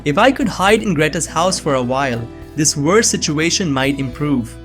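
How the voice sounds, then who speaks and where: A man acting an earnest young fugitive in a suspense visual novel, telling his own story. His voice sits fairly high and moves with lively pitch, carefully spoken and polite, with music playing behind it. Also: moderate pace. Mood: earnest, relieved. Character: framed young man on the run, nerdy, anxious, polite. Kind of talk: first-person scripted narration of suspense fiction.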